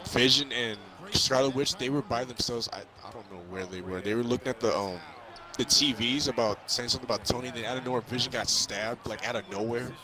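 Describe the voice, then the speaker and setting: A man's voice: mid-range voice, quick pace. Rambling young man, busy background. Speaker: vlogger reviewing a film. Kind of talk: casual chat, movie recap. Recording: crowd chatter, bouncing basketball sounds behind.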